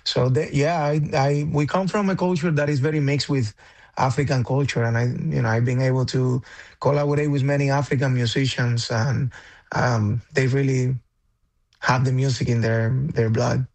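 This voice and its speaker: Hesitant man from Cuba, plain mid pitch. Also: steady tone, normal speed, darkish tone. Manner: hesitant, many fillers and restarts. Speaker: guest musician. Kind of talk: radio interview.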